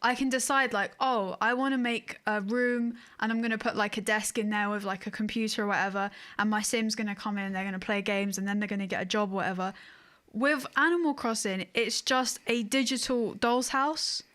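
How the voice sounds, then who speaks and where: A woman's voice: medium pitch, fairly even intonation, brisk, fairly bright tone. A woman co-hosting a podcast, chatting casually about video games in an informal, wry storytelling way. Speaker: podcast co-host.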